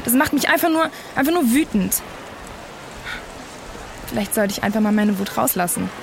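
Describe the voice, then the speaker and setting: A woman's voice: on the high side, animated, moderate pace. A woman playing an angry, impulsive young climate activist in an audio drama, speaking bluntly and provocatively. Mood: angry, defiant. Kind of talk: naturalistic audio drama dialogue. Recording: clear outdoor street and traffic noise.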